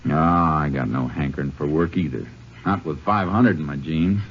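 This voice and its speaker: An actor playing a laconic, shrewd Texas Ranger in an old-time radio western, with an American accent and terse, folksy cowboy talk. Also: male voice, very deep voice, moderate pace, slight background noise. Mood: easygoing. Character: laconic Texas Ranger, shrewd, steady lawman posing as drifter. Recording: muffled vintage radio recording, music behind.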